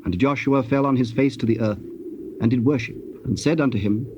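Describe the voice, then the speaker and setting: A man narrating a dramatized scripture, formal and measured in his storytelling. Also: medium pitch. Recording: dull old cassette, faint noise.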